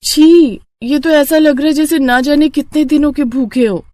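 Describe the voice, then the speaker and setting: A woman voicing a young daughter-in-law, polite but exasperated underneath, in an animated family story. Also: sits high, normal speed, dry recording, pitched above the performer's own voice, lightly acted. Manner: polite aloud, grumbling inwardly.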